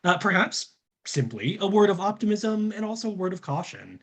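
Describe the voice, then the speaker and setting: Animated man presenting academic research. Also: high-pitched, normal speed. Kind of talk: scripted academic conference presentation.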